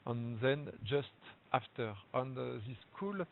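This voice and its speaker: Halting French-accented man on a tinny line. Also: mid-range voice, expressive, creaky. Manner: hesitant, many fillers and restarts. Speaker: webinar presenter. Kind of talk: halting presentation in a second language. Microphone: web conference audio. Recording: tinny phone-like web call audio with hiss.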